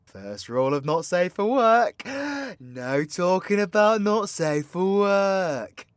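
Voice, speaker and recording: Man playing a cocky British villain, wildly animated. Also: high-pitched, slow pace, clear, resonant tone, no echo, clean studio sound. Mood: cheeky flirting. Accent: British English. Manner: sweary, teasing, slangy banter with theatrical swings. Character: cocky, crude, flirty English supervillain rogue. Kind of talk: villain roleplay ASMR audio drama.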